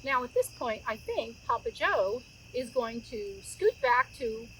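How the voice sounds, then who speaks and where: Middle-aged woman, fairly high voice, informal children's story-time host, talks directly to listeners, outdoor recording, noticeable insect sounds. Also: big pitch swings, unhurried, vocal fry, a bit bright, a little hiss or hum, poor audio. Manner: conversational, addresses listeners with questions. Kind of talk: chatty, informal talk to young viewers. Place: outdoors by a campfire in woods. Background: crickets and insects, outdoor ambience.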